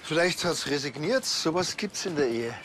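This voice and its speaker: An actor with a Bavarian accent playing a laid-back, teasing policeman in a TV crime comedy, deadpan and sarcastic. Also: fairly high pitch, moderate pace, echoey room, a little hiss or hum.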